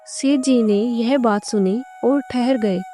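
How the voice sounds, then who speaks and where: A woman's voice: a little high, slow, mellow, faint music behind. A woman narrating a scripted moral story for a cartoon.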